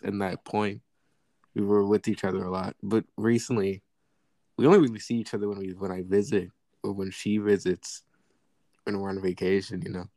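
A man's voice: fairly low pitch, darkish tone, dry recording. A young man co-hosting a casual sibling podcast, teasing and needling his sister in a mock-serious way. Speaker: podcast co-host. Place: apart from his co-host, remote recording.

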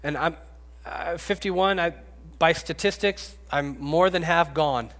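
A man in his fifties preaching a sermon, rising to loud pleading and dropping to hushed whispers. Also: a little high, slow pace. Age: 50s. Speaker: pastor. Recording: long reverb, echoey hall.

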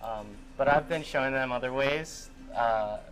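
A man's voice: medium pitch, moderate pace, fairly bright tone, faint music behind, low-quality recording. A young man interviewing someone in a casual chat on a cruise ship, rambling with lots of fillers and frequent laughs.

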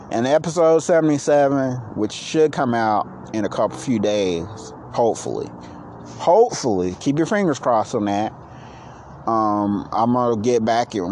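A man in his late thirties hosting a casual solo podcast, who says he is low on energy and talks in a rambling, halting way with many restarts. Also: darkish tone, a little hiss or hum. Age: 30s. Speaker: podcast host.

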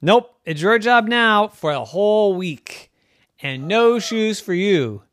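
A storyteller voicing a grumpy, bossy male farmer who scolds in a gruff manner. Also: mid-range voice, animated, slow pace, a bit bright, no echo, studio quality, pitched above the performer's own voice. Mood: scolding.

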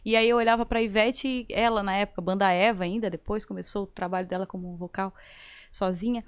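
A woman's voice: mid-range voice, steady tone, fairly quick, smooth. A woman with a southern Brazilian accent from Santa Catarina, chatting casually as a singer guest and acting out remembered dialogue. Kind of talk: casual conversational storytelling.

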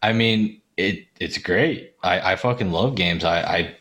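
Low-voiced man chatting casually, swearing freely. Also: unhurried, fairly bright tone. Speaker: podcast guest. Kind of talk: casual chat. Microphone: video call.

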